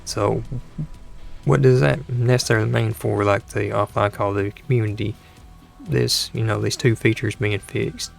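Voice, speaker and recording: A man hosting a gaming channel, talking casually over gameplay with a dry throat and a hoarse voice, rambling and exasperated, often restarting his sentences. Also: fairly low pitch, fairly even intonation, moderate pace, soft background music. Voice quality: gravelly, creaky voice.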